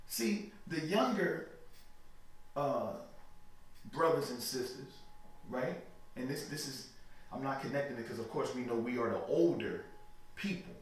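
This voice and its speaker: A man giving interview answers in a preachy, emphatic way, often checking "you know what I mean". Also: a little high. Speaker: interview guest. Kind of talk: emphatic religious talk. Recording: echoey room, mic far off, light noise.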